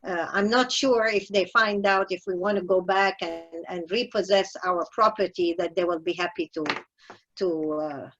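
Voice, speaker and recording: An older woman from Iraq recalling her life in an unscripted discussion, animated, with hesitant phrasing. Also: fairly low pitch, normal speed, muffled recording, noisy background. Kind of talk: unscripted personal recollection in discussion.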